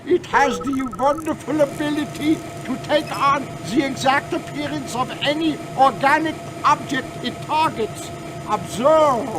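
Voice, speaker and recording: An actor playing an eager, formal old scientist with a German accent in a video game cutscene. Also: male voice, extremely high pitch, measured pace, heavy background noise. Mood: proud excitement. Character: excitable, proud old male German scientist.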